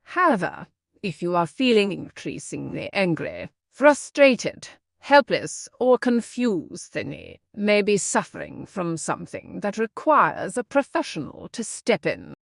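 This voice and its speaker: A woman reading a scripted passage aloud with clear, deliberate phrasing. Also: mid-range voice, big pitch swings, no echo, clean studio sound. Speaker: narrator reading exam practice passages. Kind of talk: scripted read-aloud narration.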